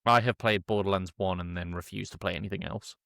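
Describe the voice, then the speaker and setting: A man's voice: sits low, fairly even intonation, quick pace, creaky, a bit bright, no room sound, clean studio sound. A man hosting a casual film review podcast, chatty and opinionated, given to tangents. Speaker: podcast host steering the discussion.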